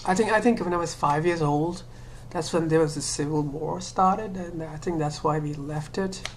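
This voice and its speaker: A woman's voice: low-pitched, normal speed, slight background noise. A woman from Sri Lanka talking to camera in a casual monologue, hesitant, with many fillers and restarts. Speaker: vlogger.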